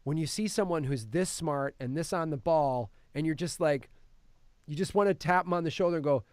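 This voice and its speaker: A man with a slightly high voice hosts a casual film podcast in a dry studio. He retells things in an animated, sweary way and slips into acted-out quotes. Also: brisk, clear, resonant tone, no room sound. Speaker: podcast host reviewing films. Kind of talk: casual film review chat.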